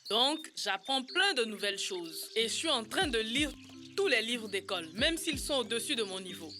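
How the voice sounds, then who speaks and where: A woman's voice: a little high, big pitch swings, normal speed. A woman voicing a proud, earnest, brainy little boy in an educational cartoon. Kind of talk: dubbed educational cartoon acting. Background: music.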